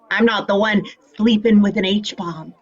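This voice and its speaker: An actress playing a flippant, teasing retired heroine of sixty-five in a fan-made drama. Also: female voice, medium pitch, measured pace, muffled recording, natural voice. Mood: flippant, amused. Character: brassy, flippant 65-year-old retired heroine, a mother.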